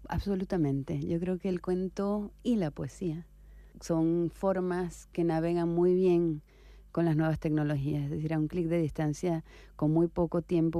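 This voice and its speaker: A woman writer from Venezuela answering interview questions in a reflective, unhurried way, with soft hesitations and tag questions. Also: deep voice, no echo.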